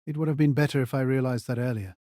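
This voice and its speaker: A man playing a gentle, resigned dying father in an audio drama, speaking wistfully. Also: medium pitch, fast, dry recording, studio quality, natural voice. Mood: wistful regret.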